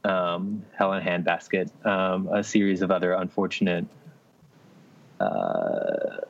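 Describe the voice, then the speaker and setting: Deep male voice, young adult, podcast co-host, understated, dry, hesitant, slightly lo-fi sound. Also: animated, measured pace, creaky. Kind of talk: casual chat and anecdote. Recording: slightly dull, lo-fi audio.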